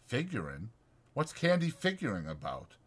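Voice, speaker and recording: Man voicing a bitter, insistent stable hand, pitched up. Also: normal speed, husky. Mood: scornful. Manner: insistent, taunting, folksy, drawn into excited speeches. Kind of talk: dramatized audiobook character voice.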